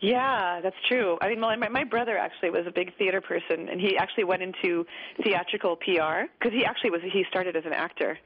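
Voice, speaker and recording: A woman, a guest opera singer, telling stories in a chatty, animated way during a radio interview. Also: fairly low pitch, quick pace, slightly rough. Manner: chatty, animated, laughs easily. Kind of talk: radio interview, casual storytelling. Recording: slightly muffled radio broadcast.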